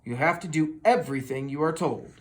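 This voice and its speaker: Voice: mid-range voice, fairly quick, natural voice. A storybook reader voicing a stern male boss, firm and commanding. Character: stern male boss giving orders to a horse.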